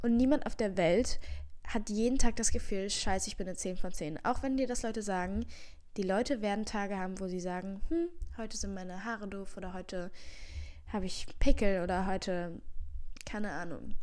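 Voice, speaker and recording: A woman's voice: medium pitch, normal speed, a little mellow. Woman, 20s, slight cold, earnest, reassuring, rambling, handheld mic, living room. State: has a cold. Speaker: vlogger. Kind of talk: casual heartfelt advice monologue. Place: living room at home, seated in armchair. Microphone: handheld microphone.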